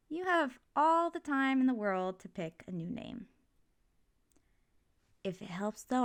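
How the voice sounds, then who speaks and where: A woman playing a supportive, playful mother in an ASMR comfort roleplay, talking casually and reassuringly to her kid. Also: medium pitch. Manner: casual, reassuring, jokey, gently expressive. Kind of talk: ASMR comfort roleplay, one side of a conversation.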